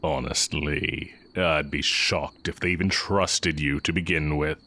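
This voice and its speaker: An extremely deep, slow-talking heretic sorcerer acted by a man, once an academy teacher. He speaks intimately and straight to the listener, with smug, wry condescension and an undercurrent of affection, as in a fantasy audio roleplay. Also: steady tone, bright, forceful tone, heavily acted. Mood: wry, disdainful. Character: exiled heretic dark-magic teacher, smug, fond, villainous.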